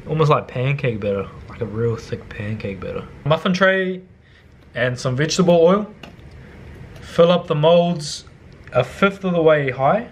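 A young New Zealand man talks through a home cooking demonstration in a loose, slangy way, tossing in casual swearing and muttering some lines under his breath. His voice sits slightly higher than most men's. He is recorded in his kitchen, with a faint background hum. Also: expressive, measured pace. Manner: laid-back, slangy, swears casually, drops to low mutters. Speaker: cooking vlogger.

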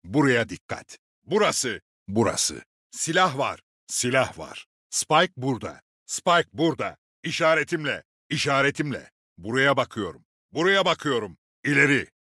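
Game role: gruff male squad leader, seasoned and commanding, very animated delivery, close dry recording. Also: mid-range voice, measured pace, no echo, heavily acted. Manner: authoritative, clipped commands with dry swagger. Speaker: game character voice actor. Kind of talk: video game voice lines, short military barks.

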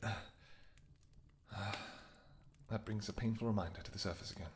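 A man voicing a protective royal vampire husband in a scripted audio roleplay, soft-spoken and affectionate, with hesitant pauses. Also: on the low side, steady tone, a little hiss or hum, lightly acted. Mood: tender.

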